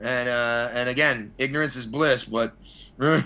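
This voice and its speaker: A man's voice: mid-range voice, fairly even intonation, measured pace, sharp tone. A man being interviewed as a podcast guest, chatting casually and rambling through blunt, opinionated answers with some mild swearing. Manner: blunt, opinionated, mildly profane. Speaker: podcast guest, fight promoter.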